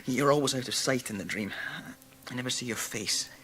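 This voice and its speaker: An actor playing a nervous, haunted Victorian gentleman in a radio drama, speaking haltingly and uneasily. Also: medium pitch, husky, low-quality recording.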